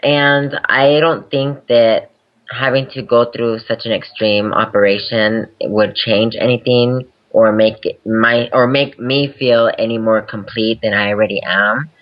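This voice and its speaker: A woman's voice: a bass voice, fairly even intonation, a little slow. Giggly candid woman, lo-fi podcast audio. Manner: candid, laughs easily. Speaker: interview guest. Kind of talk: casual interview chat.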